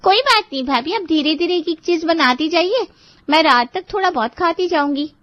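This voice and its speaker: A woman's voice: very high-pitched, lively intonation, moderate pace, no echo, lo-fi sound. A woman playing a spoiled young bride-to-be in a family drama, pleading and sweetly manipulative with her relatives. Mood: coaxing, cheerful. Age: young adult. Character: wheedling, spoiled young bride-to-be, pampered little sister. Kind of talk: Hindi family drama acting for story video.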